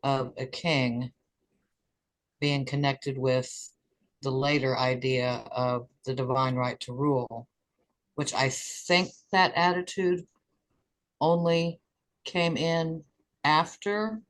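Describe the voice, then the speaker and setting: A man speaking tentatively and with pauses in an informal study discussion. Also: medium pitch, steady tone, fairly bright tone, low-quality recording. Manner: tentative, pausing. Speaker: study group participant.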